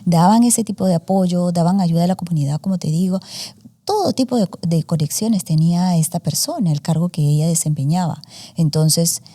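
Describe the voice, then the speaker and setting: A woman's voice: lowish voice, fairly quick, mellow. A woman in her forties, a student journalist, talking through her story in a casual interview with many fillers and English words mixed in. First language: Spanish. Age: 40s.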